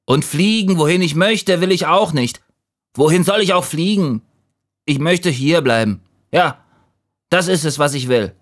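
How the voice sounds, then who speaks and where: A man's voice: high voice, animated, slow, fairly bright tone, voice pushed up, full character voice. A man voicing a timid but stubborn little leaf in a children's story. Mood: defiant.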